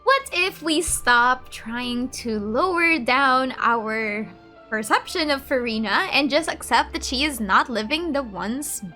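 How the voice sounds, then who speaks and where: A woman gamer reacts casually as she plays. Her voice is high and bright, playful and expressive, with faint music in the background. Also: slow. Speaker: gaming video creator. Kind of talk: casual playthrough commentary with reactions.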